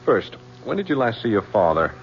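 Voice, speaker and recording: A patient homicide lieutenant in an old radio drama, his voice sitting low. He talks in a halting, apologetic way, full of uh's. The sound is dull and muffled, with a faint hiss behind it. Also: big pitch swings, vocal fry. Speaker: police lieutenant. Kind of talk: 1970s radio drama acting.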